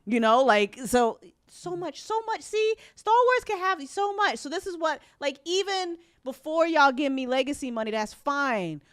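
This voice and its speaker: A woman's voice: high-pitched, animated, moderate pace, few pauses. A woman in a casual, opinionated fan discussion, talking bluntly and emphatically in slangy, loose phrases. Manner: emphatic, blunt, sweary, punctuated with "like".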